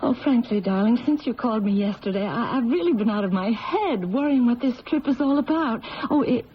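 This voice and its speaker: Woman doing fussy worried older mom, highish voice, stammers a lot, old muffled radio drama. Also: expressive, fairly quick, natural voice. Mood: anxious, doting. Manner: fluttery, stammering, fussing. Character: anxious, doting older mother. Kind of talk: 1970s radio drama acting. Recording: muffled old radio recording, faint noise.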